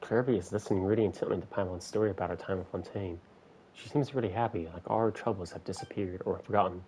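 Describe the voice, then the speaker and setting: A man streaming a game with casual commentary, reading bits of text aloud and thinking out loud hesitantly. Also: fairly even intonation, fast. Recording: noisy microphone with low rumble.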